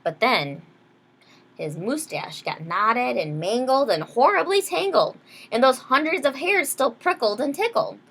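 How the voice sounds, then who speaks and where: A woman's voice: sits high, animated, unhurried, fairly bright tone, slightly rough. A woman reading a picture book aloud to young children, explaining things simply and checking in with questions. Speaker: children's storybook reader, teacher. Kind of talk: read-aloud storytime with explanations.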